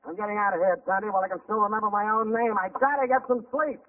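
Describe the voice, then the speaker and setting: An actor playing a hard-boiled, wisecracking private detective in clipped, sardonic 1940s radio style, on a muffled old broadcast recording. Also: male voice, high voice, fairly even intonation, fast, far from the mic, slight background noise, lightly acted. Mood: exasperated. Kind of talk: 1940s radio acting, dialogue and first-person narration.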